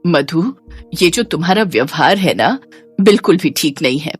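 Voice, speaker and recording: A woman puts on an older widowed mother in a cartoon drama. Her voice sits lower and carries tender reproach as she shields her child. Soft music runs behind. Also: expressive, normal speed, breathy edge, exaggerated voice. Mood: reproachful. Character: gentle, protective older widowed mother, pleading.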